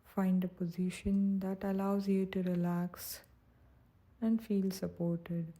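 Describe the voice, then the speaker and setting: A woman guiding a meditation in a soft, breathy, soothing and gentle voice, speaking without hurry. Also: medium pitch, flat delivery, slow. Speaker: meditation guide. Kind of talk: guided meditation narration.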